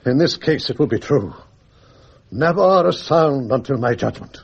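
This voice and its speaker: A man's voice: mid-range voice, lively intonation, normal speed, gravelly, breathy edge, lo-fi sound. An actor playing a fussy, pompous and anxious male judge in a radio drama, with an English Received Pronunciation accent. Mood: fretful brooding. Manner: fussy, exclamatory, brooding. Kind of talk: BBC radio drama acting.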